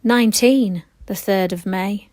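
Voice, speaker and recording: A woman reciting war poetry in a measured, storytelling manner. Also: medium pitch, a little mellow, no echo, studio quality.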